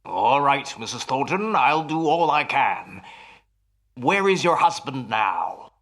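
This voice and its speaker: A man's voice: medium pitch, sing-song, slow, fairly bright tone, full character voice. A man playing a serious nightclub mind reader in a radio thriller, intense and commanding as he gives instructions. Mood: grave, decisive. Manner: intense, directive.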